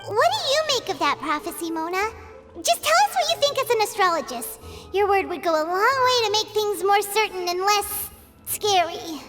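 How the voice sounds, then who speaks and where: A woman voicing a tiny, chatty, excitable childlike fairy companion in a video game, exclaiming and referring to herself in the third person. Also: very high-pitched, normal speed. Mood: curious. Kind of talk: animated game dialogue acting. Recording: background music over studio game voice.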